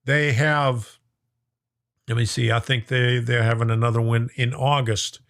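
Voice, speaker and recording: A man's voice: mid-range voice, little pitch movement, a little slow, fairly bright tone. Folksy man hosting a chatty live stream. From lives in Florida. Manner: folksy, chuckling, talks to viewers by name. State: voice getting worn out. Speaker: host of a live stream on model trains. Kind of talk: casual live-stream chat reading viewer comments.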